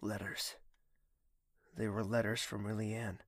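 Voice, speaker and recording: A man's voice: lowish voice, level tone, a little slow, no echo, clean studio sound. A man playing an irritable, suspicious prince in an audio drama, speaking in a terse, brooding way. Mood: irritated, brooding.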